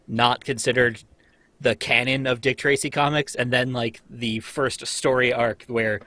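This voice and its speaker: Bright-voiced man chatting casually. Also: medium pitch, little pitch movement, measured pace, dry recording. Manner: chatty, rambling with many fillers. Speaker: cast member of a radio-script reading show. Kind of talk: casual chat.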